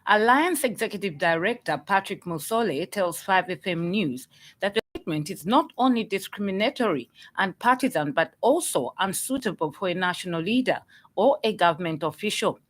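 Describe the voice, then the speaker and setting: A woman with a Zambian accent reading a scripted radio news bulletin in a formal, measured manner. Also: medium pitch, animated. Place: radio studio.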